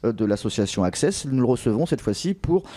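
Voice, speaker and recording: Mellow male local radio interviewer. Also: mid-range voice, sing-song, a little fast. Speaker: radio host interviewing a guest.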